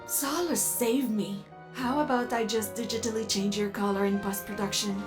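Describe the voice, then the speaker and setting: A woman playing a jaded robot film crew member with a dry, unimpressed manner, in a comedic animated comic dub. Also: mid-range voice, moderate pace, music in the background, close to an everyday voice.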